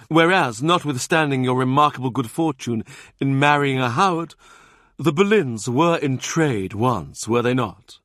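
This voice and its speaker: A man's voice: fairly high pitch, a bit bright, no echo, studio quality, voice pushed up, close to an everyday voice. A man voicing a domineering, scornful older cardinal who mocks and bullies as he speaks. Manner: mocking, bullying, theatrical.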